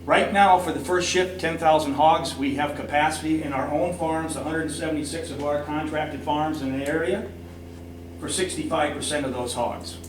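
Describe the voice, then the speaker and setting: Echoey, emphatic Midwestern man, higher-pitched. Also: steady tone, normal speed, a crowd in the background. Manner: emphatic, repeats points for stress. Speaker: citizen speaker at a public council meeting. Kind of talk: impassioned public comment. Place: indoor council meeting room. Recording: echoey meeting room, distant mic, noisy hum.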